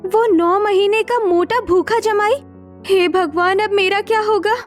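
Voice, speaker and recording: A woman's voice: extremely high pitch, steady tone, unhurried, soft background music. A woman voicing a young, anxious daughter-in-law in a cartoon story, earnest and exclamatory. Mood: alarmed. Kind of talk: Hindi cartoon story dialogue.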